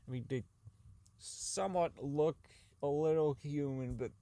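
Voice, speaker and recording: A man's voice: on the high side, a little slow, dark tone. A man playing a socially inept, unravelling robot designer in an audio drama log, rambling, stammering, swearing and repeating himself. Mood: scattered, muttering.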